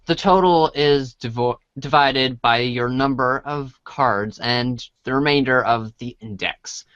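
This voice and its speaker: A man's voice: medium pitch, measured pace, fairly bright tone, smooth, dry recording. A man narrating a comic as a read-along storybook, informal and stumbling over words as he reads. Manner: informal, stumbles while reading. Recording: dry, dull band-limited audio.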